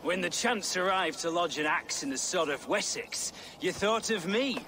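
An actor playing a dry, formal, wryly loyal warrior ally in a video game. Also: male voice, high-pitched, animated, normal speed, bright, forceful tone, slight background noise.

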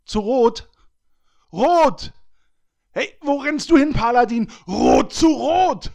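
A man acts out an angry raid leader in a gaming audio play. His voice is high for a man and full of creak. He barks commands and rants with coarse insults, berating his team. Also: lively intonation, takes it slowly, a little mellow, full character voice. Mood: exasperated, angry. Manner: ranting, foul-mouthed, commanding. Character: furious, berating male raid leader in an online game. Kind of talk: hobby comedy audio drama.

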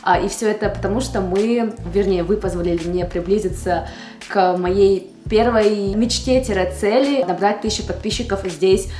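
A woman's voice: mid-range voice, normal speed, music in the background. Young Russian woman vlogger in echoey room. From Russia, Far East. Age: 20s. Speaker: beauty vlogger. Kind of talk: casual chat to camera. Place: indoor room at home. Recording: roomy indoor echo.

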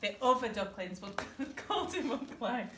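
A woman in her thirties reading a picture book aloud as a teacher, in an expressive storytelling style. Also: fairly high pitch, unhurried, a little hiss or hum. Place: primary school classroom, indoors. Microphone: camera a short distance away.

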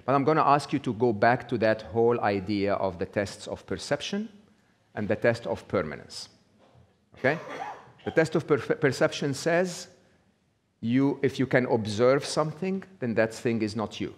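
A man's voice: medium pitch, expressive, moderate pace. A middle-aged man giving a live talk, conversational, checking in with the audience with a frequent "okay?". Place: small indoor venue with brick wall.

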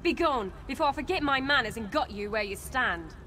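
A woman's voice: sits high, animated, brisk, sharp tone, slight background noise. A woman playing a proud, hostile elf guard in a fantasy video game, curt and threatening.

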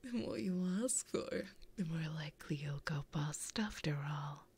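A woman's voice: low voice, expressive, a little mellow, full character voice. A woman voicing a flirty, possessive female demon gambler in a whispered, teasing ASMR roleplay, close to a binaural microphone. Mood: teasing, playful. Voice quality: breathy, whispered. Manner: slow, teasing, intimate.

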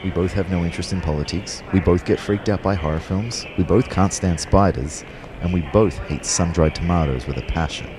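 An actor playing a wry, lovestruck young personal trainer who narrates an audio drama in a measured, understated way. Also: male voice, low voice, crowd noise, lightly acted. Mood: wry, fond.

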